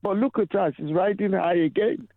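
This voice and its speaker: A man calling into a radio show, speaking earnestly and philosophically with slow, emphatic phrasing. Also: sits high, vocal fry, no room sound. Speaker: radio caller. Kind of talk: phone-in call. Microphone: telephone. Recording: tinny phone line.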